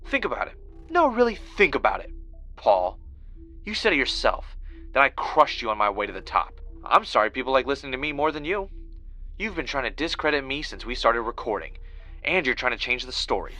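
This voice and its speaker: An actor playing a defensive, easily riled podcaster and former reality show winner, insistent and argumentative in a natural conversational audio drama style. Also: male voice, mid-range voice, sing-song, brisk, pushed and bright, faint music behind. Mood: accusing, angry.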